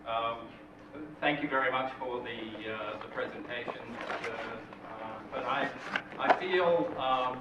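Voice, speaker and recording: A man in a conference audience asking a policy question, hesitant and full of fillers. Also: mid-range voice. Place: conference hall audience, far from mic. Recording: distant roomy hall audio, dull and noisy.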